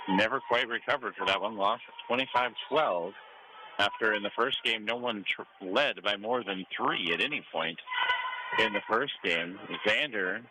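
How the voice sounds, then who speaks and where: A man with an American accent giving live radio play-by-play of a volleyball match. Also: on the low side. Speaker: radio play-by-play sports announcer. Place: school gym during a match. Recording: clearly noisy gym ambience behind voice. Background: gym noise.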